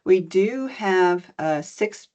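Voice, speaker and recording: A woman's voice: mid-range voice, animated, extremely slow, darkish tone. A woman moderating an online student panel as program director, welcoming and methodical, with frequent fillers. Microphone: video call.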